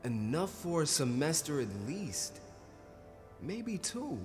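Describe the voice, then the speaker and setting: An actor playing a restless, ambitious and callous young grad student in a stage drama. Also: male voice, a little high, sing-song, slow. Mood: defensive, dismissive. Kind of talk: stage-play dialogue acting. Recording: reverberant hall, music behind.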